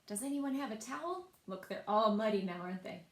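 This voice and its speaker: A woman's voice: medium pitch, expressive, fairly quick. A woman reading a children's picture book aloud as a storyteller, expressively and with asides to young listeners. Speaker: library storyteller. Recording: roomy, echoing sound.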